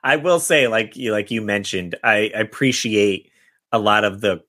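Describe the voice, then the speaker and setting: Male podcast host, low voice, informal rambling movie chat. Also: measured pace, fairly bright tone. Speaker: podcast host running a guessing game.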